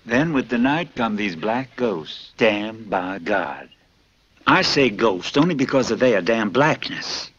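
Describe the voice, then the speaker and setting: An actor playing a sixty-year-old plantation master, pompous and cruel, with a self-satisfied drawl that can flare into furious shouting. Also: male voice, fairly low pitch, lively intonation, slow, husky, heavily acted. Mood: smug. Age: 60s. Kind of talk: film acting. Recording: dull lo-fi film sound with music.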